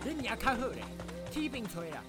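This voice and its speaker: A woman's voice: on the high side, sing-song, a little fast, over loud music. A woman playing a coarse, brash gang underling with a Taiwanese accent in an audio drama. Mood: irritated. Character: rough, foul-mouthed street thug underling on the chase.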